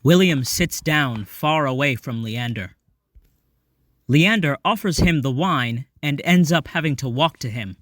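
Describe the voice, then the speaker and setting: A man's voice: medium pitch, measured pace, fairly bright tone, no echo. A man reading stage directions as the narrator of an audio play, in scripted narration.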